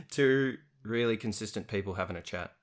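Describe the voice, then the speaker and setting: A young male podcast host with a fairly low voice chats casually. He is talkative and self-deprecating, and he laughs often. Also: lively intonation, measured pace. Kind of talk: casual interview chat.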